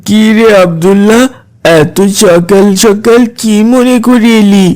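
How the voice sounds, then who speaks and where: A woman's voice: medium pitch, takes it slowly, warm tone, lightly acted. A woman voicing a sleepy, lazy young boy who grumbles stubbornly in a children's cartoon. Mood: sleepy, reluctant. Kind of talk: children's moral cartoon dialogue.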